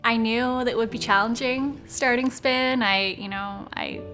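A woman's voice: fairly high pitch, fairly even intonation, a little slow, sharp tone. Woman talking about her small business, conversational and earnest, doc interview style, music playing behind her. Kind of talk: documentary interview voice-over. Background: background music.

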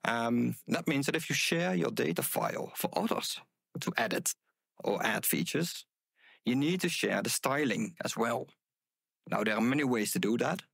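A middle-aged man giving a conference talk, with a loud voice and many ums and uhs. He speaks on a headset mic in a room with an audience. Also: mid-range voice, lively intonation, moderate pace, creaky, husky, dry recording.

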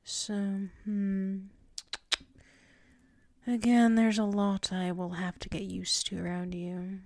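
A woman playing a flustered, submissive tsundere werewolf in an intimate ASMR roleplay, halting and murmuring, often slipping into whispers close to the mic. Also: mid-range voice, level tone, darkish tone, no echo. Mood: musing, teasing. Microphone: close microphone.

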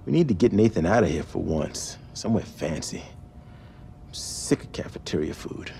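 A man's voice: low voice, moderate pace, vocal fry, a little hiss or hum. A man playing an easygoing, teasing lab assistant in a video game drama, talking casually. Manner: relaxed, casual. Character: laid-back, teasing male lab assistant.

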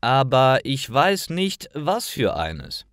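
Eager young male character , played by a male narrator, very expressive, dry sound, storybook dialogue. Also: medium pitch, slow, no room sound, voice pushed up, exaggerated voice. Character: earnest young man asking his friend for advice. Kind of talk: acted dialogue in a learner story.

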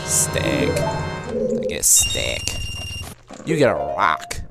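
A man with a cold narrates his gameplay in an unhurried, drawn-out way. His pitch leaps around with animated, exclamatory reactions. Game music plays clearly under his desk-mic recording. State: has a cold. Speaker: Let's Play gamer. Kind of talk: casual gameplay commentary.

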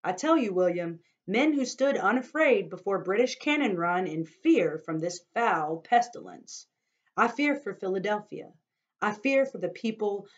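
A woman's voice: medium pitch, expressive, unhurried, lo-fi sound, voice pushed up, natural voice. A woman reading aloud, giving a fearful older man his lines in a grave, worried, lecturing way. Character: fearful older male townsman.

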